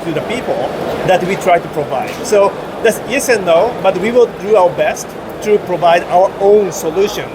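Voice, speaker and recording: A man's voice: sits high, normal speed. A man speaking on a panel at a trade event, filling his talk with frequent "you know"s. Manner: filler-heavy, many "you know"s. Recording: loud background noise.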